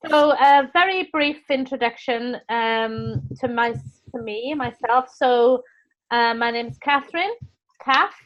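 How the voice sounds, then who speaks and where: A woman's voice: on the high side, takes it slowly. Welsh woman teaching over a video call. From Wales. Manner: warm, encouraging, with frequent restarts. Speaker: course tutor. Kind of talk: live online teaching session. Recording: dull lo-fi call audio.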